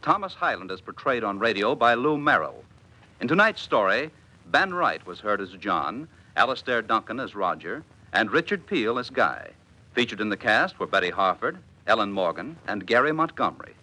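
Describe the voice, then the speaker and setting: A man announcing scripted credits in a formal, clearly enunciated 1950s radio style. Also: lowish voice, sing-song, normal speed, pushed and bright, poor audio.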